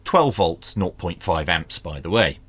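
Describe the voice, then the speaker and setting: A man's voice: low-pitched, sing-song, a little slow, a bit bright, slightly rough. A man presenting an unboxing product review in a plain-spoken, informal, opinionated way. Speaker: reviewer and presenter of radio gear.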